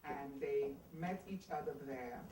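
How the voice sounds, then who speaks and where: A middle-aged woman from Trinidad and Tobago, a judge giving an unhurried, storytelling speech at a community event. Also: extremely low pitch. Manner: warm storytelling, unhurried, laughs easily. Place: community center hall. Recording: echoey hall from far off, noisy.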